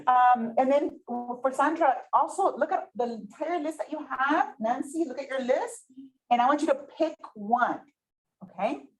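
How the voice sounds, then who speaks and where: A woman's voice: sits high, animated, a little slow, poor audio. A woman whose first language is Spanish leads a community workshop in a chatty way, often checking in with the group. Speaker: workshop facilitator. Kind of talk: interactive workshop teaching. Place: meeting room, with some people online.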